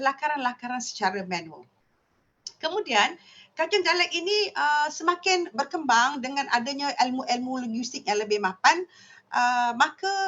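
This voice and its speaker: A woman with a Malaysian Malay accent giving an academic lecture, pausing often and correcting herself mid-phrase. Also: high-pitched, fairly bright tone. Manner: frequent hesitations and self-corrections. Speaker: lecturer in linguistics. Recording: dull lo-fi audio.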